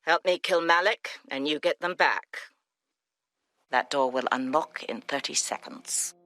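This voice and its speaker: A woman's voice: deep voice, measured pace, a bit bright, no room sound. An actress playing a ruthless, calculating female spy, in a video game. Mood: menacing. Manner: cool, menacing.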